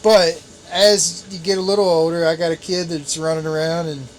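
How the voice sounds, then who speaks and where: A man in his late thirties chatting casually on a fishing podcast, relaxed and rambling. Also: a little high, normal speed, very noisy. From Chesapeake Bay area, US. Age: 30s. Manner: relaxed, rambling, with restarts and laughs. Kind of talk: casual chat. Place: on a boat out on the water.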